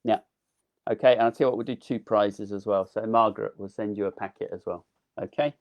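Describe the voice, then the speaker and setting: A man's voice: lowish voice, fairly even intonation, fairly quick, vocal fry, muffled recording. A man giving a casual, enthusiastic live online gardening talk, full of ums, as his voice wears thin. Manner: chatty, enthusiastic, with frequent ums. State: losing his voice. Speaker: delphinium grower giving a talk.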